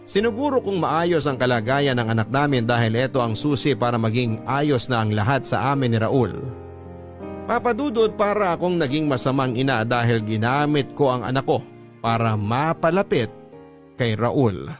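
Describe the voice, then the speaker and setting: A man's voice: medium pitch, lively intonation, normal speed. Filipino man narrating over prominent music. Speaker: radio drama narrator reading a letter. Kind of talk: scripted first-person story narration.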